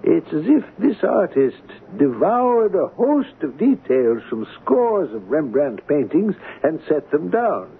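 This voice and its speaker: A learned, courteous art appraiser in a radio play. His voice is very husky and rises and falls freely, with slow, formal, exacting phrasing. The muffled sound is that of an old radio broadcast. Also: on the high side, very animated, heavily acted. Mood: critical, exacting. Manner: formal, deliberate, measured. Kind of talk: radio drama acting.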